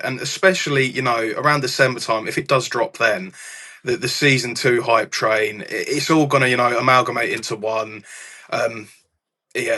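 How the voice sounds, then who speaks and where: A man's voice: medium pitch, pushed and bright. A man chatting casually as a guest on a gaming discussion panel.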